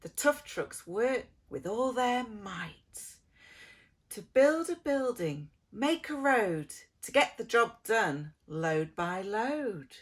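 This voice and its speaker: Slow, very animated woman reading a children's story. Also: a little high. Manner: theatrical, with drawn-out words and soft hushed phrases. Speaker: preschool early years lead reading aloud to children. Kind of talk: expressive children's picture-book storytelling.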